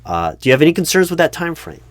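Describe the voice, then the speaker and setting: A man's voice: fairly high pitch, sing-song, racing, a little hiss or hum, pitched above the performer's own voice. A man playing a polite, oblivious recruiting manager who stammers hesitantly and tactfully through a comedic one-sided job interview roleplay. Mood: awkward, businesslike.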